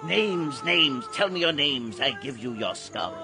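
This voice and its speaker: An actor playing a sly, gleefully ghoulish street peddler in a theatrical radio drama, chanting in a drawn-out sing-song. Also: male voice, fairly high pitch, expressive. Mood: beckoning, hawking. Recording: loud music behind the voice. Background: music.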